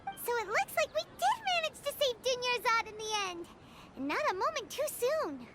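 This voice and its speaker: A woman voicing a tiny, excitable, childlike fairy companion in a video game, squeaky, expressive and inquisitive. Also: normal speed, heavy background noise. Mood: relieved, eager.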